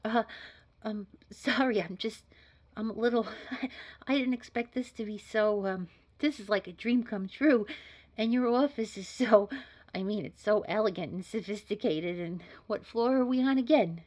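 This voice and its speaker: A woman's voice: mid-range voice, normal speed, darkish tone, lightly acted. A woman playing an eager young job applicant in an audio roleplay, hesitant, gushing and nervously bubbly. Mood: flustered. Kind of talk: scripted audio roleplay acting.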